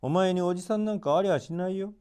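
A man's voice: sits high, fast, a little mellow, smooth, voice pushed up, close to an everyday voice. A man voicing a poor, sensible widowed mother with a homely, doubtful way of speaking.

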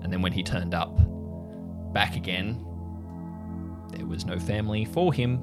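A man playing a bitter, haunted agent in an improvised audio drama, speaking gravely and deliberately. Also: deep voice. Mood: defensive, weary. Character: bitter, haunted male agent raised by the agency since childhood. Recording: music under the voice.

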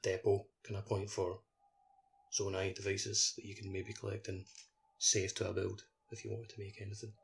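A man's voice: deep voice, monotone, normal speed, vocal fry. A man co-hosting a podcast in a casual, conversational style. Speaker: podcast co-host.

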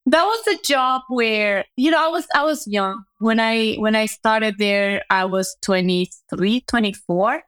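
A woman's voice: a little high, a little slow, few pauses, fairly bright tone, smooth. A woman, a guest telling her career story in a reflective way and often asking "right?". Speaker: guest entrepreneur. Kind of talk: conversational interview storytelling.

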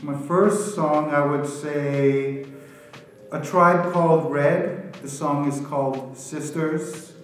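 A man talking in an unhurried, deliberate way, with casual answers and simple storytelling. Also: a little high, steady tone, slight background noise. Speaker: guest storyteller. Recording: roomy, distant, music behind.

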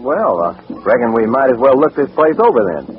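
An actor playing an easygoing, wry, folksy cowboy in an old western radio comedy. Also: male voice, medium pitch, big pitch swings, brisk, soft background music, lightly acted. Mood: flirtatious. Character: easygoing, wisecracking singing cowboy. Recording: noisy, tinny old radio recording.

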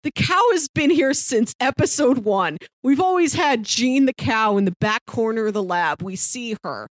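A woman's voice: a little high, lively intonation, normal speed, no room sound. A woman co-hosting a casual podcast discussion of a TV show, talking in an enthusiastic, appreciative way. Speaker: podcast co-host.

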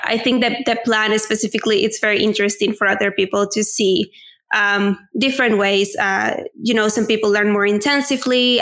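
A woman's voice: mid-range voice, a bit bright. A woman in her twenties from Venezuela, a native Spanish speaker, answering interview questions candidly with frequent fillers. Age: 20s. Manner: open, candid, with filler words like "like" and "um". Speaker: podcast guest.

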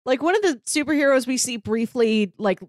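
A woman's voice: sits high, animated, a little fast, no room sound. Dry-sounding woman in casual podcast discussion. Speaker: podcast panelist.

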